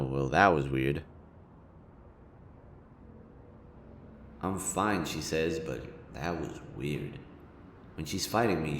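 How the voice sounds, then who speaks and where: A man's voice: low-pitched, vocal fry, heavily acted. A man playing a smug, sardonic city supervillain with a caring streak, speaking to the listener in a casual, sweary audio roleplay. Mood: puzzled, observant. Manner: taunting, casual, sweary, theatrical.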